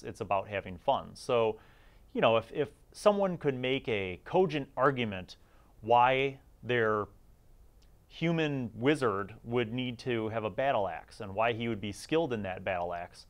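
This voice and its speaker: A middle-aged man giving casual, conversational review commentary as the host of a gaming series, chatty and informal with emphasis on key words. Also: medium pitch.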